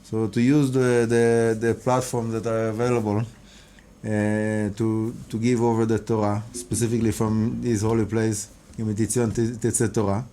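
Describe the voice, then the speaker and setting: A mellow-voiced man whose first language is Hebrew, speaking English as he answers an interviewer. He talks earnestly about his teaching and often breaks off mid-phrase to restart. A faint background hiss runs under the recording. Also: fairly low pitch, little pitch movement. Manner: halting, restarts and repeats words often. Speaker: rabbi and kabbalah teacher.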